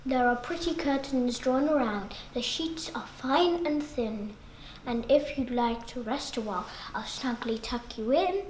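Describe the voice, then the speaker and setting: Sly spider for a storybook poem, played by a young girl: hushed, coaxing, flattering, in a roomy echo. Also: high voice, a little slow, a little hiss or hum. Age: child. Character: sly, cunning, flattering male spider luring prey.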